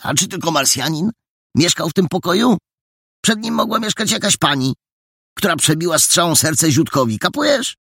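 A man's voice: on the high side, sing-song, normal speed, vocal fry, sharp tone, breathy edge, no room sound, voice pushed up, exaggerated voice. A man doing a sceptical boy detective. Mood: mocking. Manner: dry, sceptical, mocking. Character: sceptical, level-headed boy detective leader.

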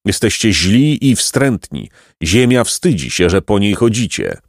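A man's voice: on the low side, very animated, unhurried, dry recording, studio quality. Man voicing an ancient, stern storybook raven. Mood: stern scorn. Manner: solemn, condemning, oracular. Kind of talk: storybook character reading.

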